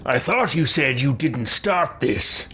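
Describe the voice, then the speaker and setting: Bright, direct male adventurer. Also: mid-range voice, expressive, slow, slight background noise. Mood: probing, controlled. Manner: direct, questioning. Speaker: video game character. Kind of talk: fantasy game dialogue.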